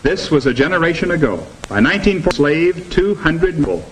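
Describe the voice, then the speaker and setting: A man's voice: a little high, sing-song, fairly quick. A man lecturing formally and emphatically as a teacher in a 1950s educational film, on a noisy old soundtrack. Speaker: teacher leading a classroom discussion.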